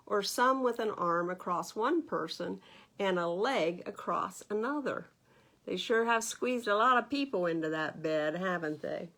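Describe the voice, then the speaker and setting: A woman reads a picture book aloud to young children as a storytime librarian, expressively, with gentle conversational asides and questions. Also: medium pitch.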